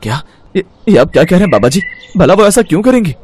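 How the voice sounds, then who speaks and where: A man voicing a respectful, earnest and excitable young village farmer in a storybook tale. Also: high voice, quick pace, slight background noise, pitched above the performer's own voice. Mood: shocked.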